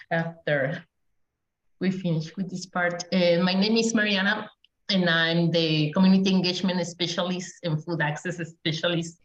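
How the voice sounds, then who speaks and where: Slow-spoken woman on a roomy call. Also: on the low side. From Mexico. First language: Spanish. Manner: measured, hesitant with many fillers. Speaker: community engagement specialist, panelist. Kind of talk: panel talk on a video call. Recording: roomy, distant, dull video call audio.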